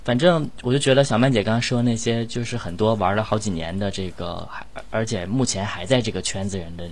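A man's voice: lowish voice, brisk. A man hosting a casual online group chat, talking in a rambling, self-effacing way. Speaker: host and amateur voice actor.

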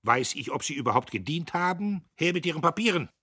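Guy reading as a growly old army major, loud and barky, bright edge, husky. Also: a little high, animated, moderate pace, no room sound, clean studio sound, pitched above the performer's own voice, heavily acted. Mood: angry. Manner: barking, thundering. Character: gruff bullying old army major, male.

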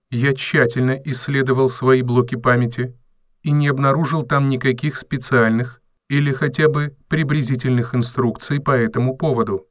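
A man's voice: medium pitch, no room sound, natural voice. Man acting a polite, dry-sounding robot servant. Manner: formal, precise, deferential phrasing. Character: polite, earnest, literal-minded male robot servant. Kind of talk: audio drama acting.

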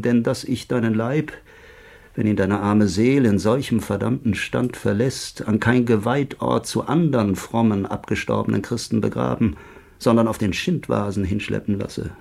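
An actor playing a stern, reproachful army chaplain in a German radio drama, preaching in archaic words with a gravelly voice. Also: male voice, medium pitch, moderate pace, darkish tone. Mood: stern, indignant. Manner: preaching, declamatory.

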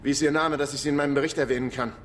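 An actor playing a bluffing investigator who poses as an official, brisk and officious, in a radio crime drama. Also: male voice, fairly high pitch, steady tone, slight background noise, natural voice.

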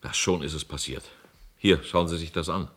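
Irascible boss, sharp bright voice. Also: male voice, a bass voice, normal speed. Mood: grim suspicion. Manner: impatient, commanding, grumbling. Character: irascible, suspicious male boss of a dystopian control center. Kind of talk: German science-fiction radio drama acting.

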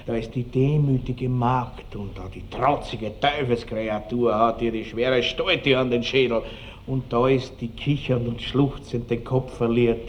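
A man's voice: mid-range voice, lo-fi sound, natural voice. A man acting a lecherous Austrian country baron in a vintage radio play. He is pompous and earthy, boasting at length and relishing every word. The old recording carries faint noise. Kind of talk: classic radio drama acting. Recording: noisy old 1960s radio drama recording.

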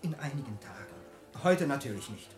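An actor playing a loyal, guarded private secretary in a radio drama, laconic, measured and correct. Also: male voice, mid-range voice, animated, soft background music, close to an everyday voice. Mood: reserved. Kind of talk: German radio drama acting.

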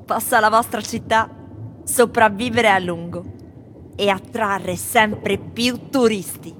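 An actress playing foreign tourists who address a city in a theatrical radio recitation. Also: female voice, medium pitch, slow, a bit bright, a little hiss or hum. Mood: solemn, measured. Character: foreign tourists addressing blessings and curses to Rome. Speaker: performer in a radio theatre piece. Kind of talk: theatrical recitation of collected testimonies. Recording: voice over a music bed.

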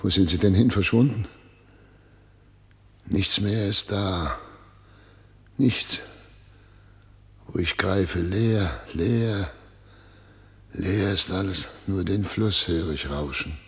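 A troubled ruler in a radio play. He declaims very slowly, with weighty pauses and grand, remorseful gravity. The words ring through a long, echoing hall. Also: sits low. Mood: bewildered, lost. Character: troubled male head of state, grandiose and remorseful. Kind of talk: theatrical German radio drama acting.

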